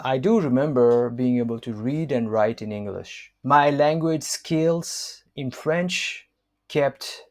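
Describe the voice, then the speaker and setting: Guy in his 50s from nepal, first language newari, reads his own book real slow, lots of ums. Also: mid-range voice, darkish tone. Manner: measured, hesitant delivery with frequent fillers. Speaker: author and language counselor.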